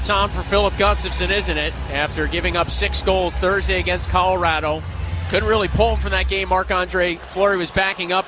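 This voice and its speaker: High-pitched man calling live hockey. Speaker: radio play-by-play announcer. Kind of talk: live ice hockey commentary. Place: arena broadcast position. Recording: noisy live arena radio broadcast. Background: arena ambience and noise.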